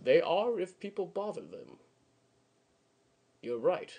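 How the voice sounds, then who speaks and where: Man playing husky, paternal reverend. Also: high voice, very animated, slow pace, highs cut off, full character voice. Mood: stern. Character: stern but kindly reverend father. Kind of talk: old-time radio drama acting.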